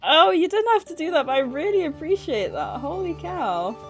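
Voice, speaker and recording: A woman's voice: very high-pitched, very animated, normal speed, soft background music. A woman livestreamer chatting casually with her viewers in a flustered, nervous, giggly and effusively thankful manner. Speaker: livestreamer and author hosting a stream. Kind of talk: casual live chat with viewers. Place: livestream, indoors at a computer.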